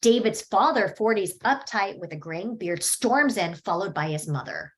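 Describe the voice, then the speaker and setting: A woman reading the stage directions at a screenplay table read in a steady, measured way. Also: medium pitch.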